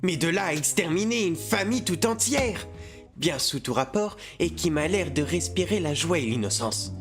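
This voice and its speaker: An earnest, nervous cartoon demon, played by a man. He frets and protests with lively swings in pitch, while music plays clearly behind him. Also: moderate pace. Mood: worried protest. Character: anxious, prim, conscientious male demon assassin. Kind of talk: animated comedy fan dub.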